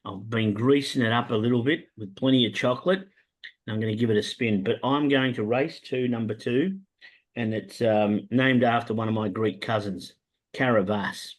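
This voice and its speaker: An Australian man hosts a laid-back racing chat and talks up his tips like a salesman. His pitch sits mid-range, and he stumbles through plenty of "um"s as he goes. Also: normal speed. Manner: animated salesman pitch, many "um" fillers. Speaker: racing tipster host. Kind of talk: casual podcast chat about horse racing.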